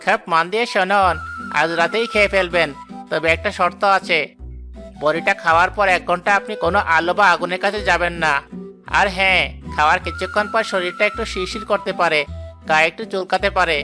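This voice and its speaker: A man voices a sly, smooth-talking cartoon peddler of magic pills. His voice is high and bright, coaxing and persuasive, over a music bed. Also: steady tone, fairly quick. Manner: persuasive, instructing. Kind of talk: Bengali cartoon moral drama voice acting.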